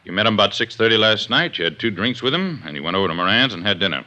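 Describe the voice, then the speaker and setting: Veteran inspector in vintage radio drama: terse, deliberate, very hard-edged tone, lively inflection. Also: male voice, lowish voice. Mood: stern, pointed. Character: veteran police inspector, older, terse and pointed. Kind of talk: 1950s radio drama acting.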